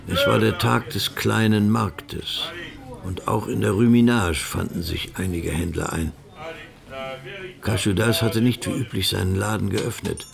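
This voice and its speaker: A man reading scripted literary narration for a radio drama in a measured, grave way, with music underneath. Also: on the low side, vocal fry, a crowd in the background.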